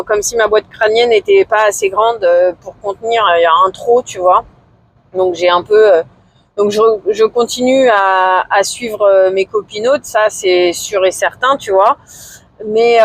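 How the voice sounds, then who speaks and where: A woman in her forties talking casually to camera in a vlog, hesitant and low-key, with many fillers and pauses. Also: dry recording, poor audio. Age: 40s. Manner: low-key, somewhat discouraged. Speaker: vlogger.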